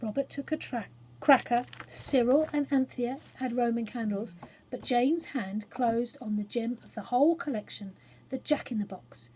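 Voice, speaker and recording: A woman's voice: on the high side, moderate pace, mellow, a little hiss or hum, low-quality recording. An English woman chatting informally and reading a storybook aloud to children, self-deprecating and explaining things simply. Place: small spare room study at home.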